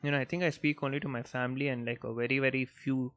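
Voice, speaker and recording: A man's voice: mid-range voice, fast, no room sound. Young male podcast host, first language Malayalam, laid-back rambling storyteller, dry and slightly dull recording. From Bangalore, India. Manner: relaxed, rambling, many fillers like "like" and "you know". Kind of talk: casual storytelling monologue.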